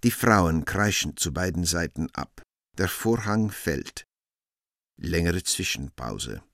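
A man's voice: sits low, animated, slow, gravelly, fairly bright tone. A man reading scripted stage directions as a narrator in a radio play.

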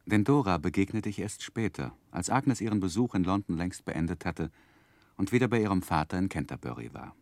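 An actor playing an earnest, romantic gentleman narrating his own youth in measured, literary for a 1960s radio drama. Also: low-pitched, lively intonation, dry recording. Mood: reflective, composed. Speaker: narrator and main character. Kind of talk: dramatic first-person narration, 1960s German radio play.

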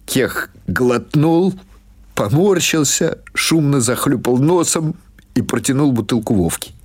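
Low-voiced man narrating literary prose. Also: a little mellow. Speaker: audiobook narrator.

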